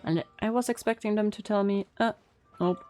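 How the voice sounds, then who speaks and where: A woman's voice: mid-range voice, fairly even intonation, moderate pace, a little mellow, faint music behind. A woman streamer giving casual gameplay reactions, informal and joking as she thinks aloud. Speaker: game streamer.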